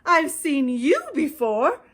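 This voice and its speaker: A woman's voice: high voice, sing-song, takes it slowly, a bit bright, voice pushed up, full character voice. A woman voicing a flighty, selfish female bird in a storybook, gasping and exclaiming as she talks. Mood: shocked.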